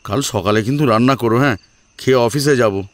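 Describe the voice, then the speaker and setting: A man's voice: medium pitch, fast talker, no room sound, natural voice. A man playing an exasperated, demanding young husband who nags indignantly in an audio drama. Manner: indignant, nagging.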